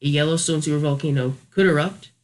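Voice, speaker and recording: A young man with a slightly high voice talks through a casual review. He sounds unscripted and a little hesitant, working out his opinions aloud as he speaks. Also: quick pace. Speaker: YouTube reviewer.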